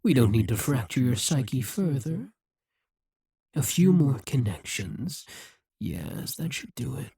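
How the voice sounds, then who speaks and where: A performer voicing a haughty, wry demon ruler in an ASMR roleplay, speaking softly and huskily in a slow, condescending, possessive way. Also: mid-range voice, lively intonation, no room sound, clean studio sound, exaggerated voice. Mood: focused, wary. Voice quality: soft, breathy, husky.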